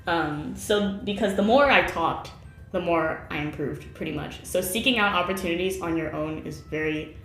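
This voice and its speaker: Young-sounding woman interviewee, chatty and giggly, slightly low pitch, roomy echo under soft music. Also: normal speed. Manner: chatty, laughs often, many fillers. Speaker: interviewee, Japanese learner. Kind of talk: casual interview chat.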